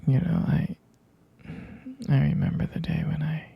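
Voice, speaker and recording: A man in an ASMR roleplay as a tender vampire boyfriend, whispering soothingly and haltingly to help his partner sleep. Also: fairly high pitch, wildly swooping pitch, vocal fry, husky, muffled recording. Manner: soft, halting, soothing whisper with murmured hums. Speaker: ASMR performer.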